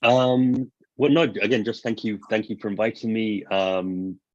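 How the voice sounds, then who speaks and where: Relaxed man on dull dry call audio. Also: lowish voice, a little slow, no room sound. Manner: friendly, relaxed, hesitant fillers. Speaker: guest speaker.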